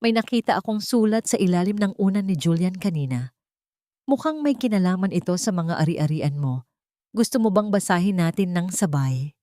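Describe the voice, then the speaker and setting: Woman voicing a scheming, low-voiced older mother. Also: expressive, normal speed, mellow, no echo, studio quality, lightly acted. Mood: falsely tender. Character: greedy, scheming, cruel older mother feigning piety.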